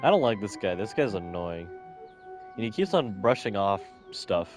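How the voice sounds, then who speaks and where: A man's voice: fairly low pitch, very animated, normal speed, over loud music. A man giving casual gameplay commentary as a streamer, speaking in an informal, slangy way. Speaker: game streamer.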